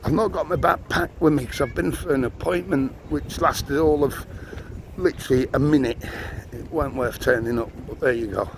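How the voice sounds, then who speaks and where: An older man, chatting casually while walking a town tour, his voice a little squeaky and strained. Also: normal speed, poor audio. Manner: chatty, rambling, self-mocking. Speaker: walking vlogger. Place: outdoors on busy town streets. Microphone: handheld camera on a gimbal. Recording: outdoor street noise.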